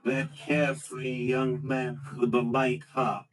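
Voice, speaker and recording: An actor playing a solemn spirit in a vintage radio drama, speaking gravely and asking probing questions. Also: male voice, medium pitch, completely flat delivery, slow pace, lightly acted. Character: solemn spirit guiding an old man.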